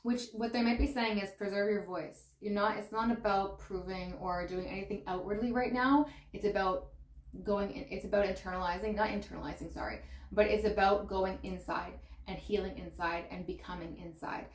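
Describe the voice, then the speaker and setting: A woman gives a tarot reading with a mid-pitched voice, bubbling over with excitement and exclamations. Her voice is wearing out and sounds strained in places. The room around her gives the sound a noticeable echo. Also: normal speed. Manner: excitable and gushing, exclaiming and laughing. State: losing her voice. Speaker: tarot reader. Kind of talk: pick-a-pile tarot reading.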